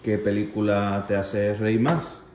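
A man's voice: on the low side, little pitch movement, takes it slowly, darkish tone. Male interviewer, casual questions read aloud, roomy echo, faint background noise. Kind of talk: reading out casual personal questions. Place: home kitchen. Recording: echoey home kitchen, faint noise.

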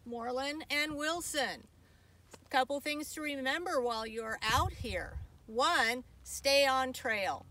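An American woman gives informal tips outdoors in a high, bright voice. She is some way from the microphone. The delivery is that of someone explaining safety points to a camera. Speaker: aquatics staffer giving dog hiking tips. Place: outdoors in open desert by a trailhead and parking lot. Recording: far from the mic, faint outdoor noise.

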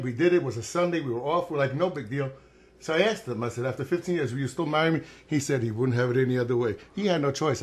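A middle-aged man hosting a cooking show in a home kitchen, chatty and joking as he demonstrates a recipe. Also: mid-range voice.

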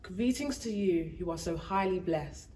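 A calm angel messenger soothes a frightened girl in a rhyming storybook, voiced by a woman. Her voice sits low and steady, and it sounds a little distant from the mic. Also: measured pace, a little hiss or hum. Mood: reassuring. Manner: soothing, matter-of-fact rhyming delivery.

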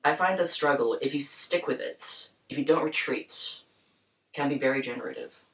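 A woman's voice: rumbling low, moderate pace. Thoughtful young woman, distant mic. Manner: soft, thoughtful, personal. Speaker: program host. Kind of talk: reflective spoken introduction. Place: small bright room with plants, indoors.

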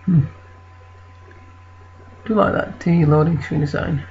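A man from Britain with a croaky voice from an infection, hosting a let's play in casual, rambling commentary. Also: a little high, takes it slowly, highs cut off, slight background noise. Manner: rambling, self-correcting.

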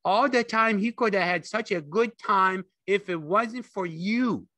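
Man putting on a shrill scolding country aunt. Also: unhurried, no echo, studio quality. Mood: scolding, sharp. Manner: sharp, nagging, coarse. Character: scolding sharp-tongued aunt, an older country woman.

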